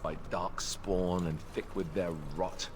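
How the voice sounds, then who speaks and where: Low-voiced grieving fantasy warrior. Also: male voice, flat delivery, slow, background noise. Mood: grieving, somber. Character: grieving, reverent male warrior in a fantasy game.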